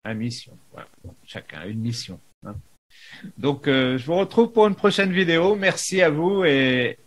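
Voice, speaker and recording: A man giving an unscripted spiritual talk as a teacher, in a halting manner with frequent pauses in the middle of phrases. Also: a little high, animated, husky. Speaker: spiritual teacher, video maker.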